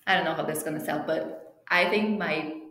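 A woman whose first language is Portuguese chats as an interview guest, slipping in fillers and restarting her sentences. Her voice sits a little low and rings in a noticeably echoey room. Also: a little fast, fairly bright tone. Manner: chatty, with frequent fillers and restarts. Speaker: interview guest, team travel planner. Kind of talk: casual interview chat.

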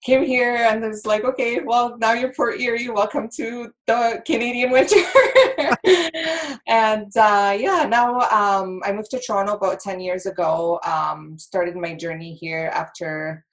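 A woman's voice: medium pitch, measured pace. A woman in her thirties from Egypt, a podcast guest talking chattily with lots of laughs and fillers. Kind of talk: casual interview chat.

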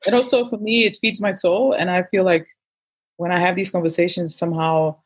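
Woman, native Portuguese speaker, husky voice, lowish pitch, animated casual chat. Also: brisk, low-quality recording. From Angola. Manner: animated, self-deprecating, restarts often. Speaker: guest coach. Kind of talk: casual conversation.

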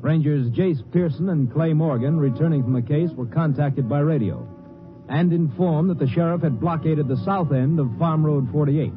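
A man's voice: fairly high pitch, moderate pace. A man with an American accent giving scripted narration for a 1950s radio drama. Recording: muffled old radio recording over music.

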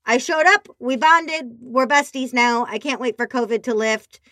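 A woman with a nasal voice talking casually on a podcast, expressive and animated as she tells stories. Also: on the high side, moderate pace, no room sound. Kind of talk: casual chat. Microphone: remote call.

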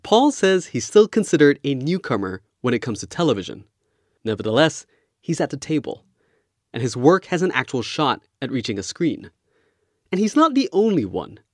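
A man's voice: on the high side, huge pitch swings, moderate pace, a little mellow, no room sound, studio quality. A man hosting a podcast, moving between narration and casual, conversational interviewing.

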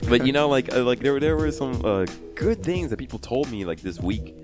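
A man's voice: medium pitch, expressive, a little fast, music in the background. A young man hosting a podcast in casual chat, rambling and laughing with lots of fillers, his voice still worn from a trip. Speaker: podcast host.

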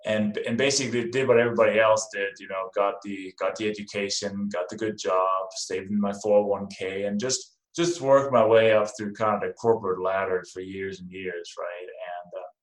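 Roomy echo, middle aged danish accented guy, lowish voice, chatting as an interview guest. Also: steady tone, moderate pace, few pauses. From Denmark. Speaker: podcast guest, real estate investor. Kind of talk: casual interview talk. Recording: roomy, echoing sound.

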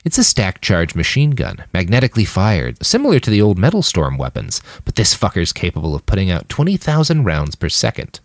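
A man's voice: low-pitched, huge pitch swings, brisk, few pauses, no room sound, clean studio sound, heavily acted. A man voicing a small, squat male mechanic in an audiobook, explaining weaponry with relish. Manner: matter-of-fact technical explaining with relish. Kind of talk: audiobook character voice.

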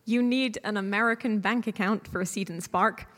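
A long hall reverb surrounds a woman with a medium-pitched voice. She explains things informally, as a guest giving advice on a live panel. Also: moderate pace, fairly bright tone.

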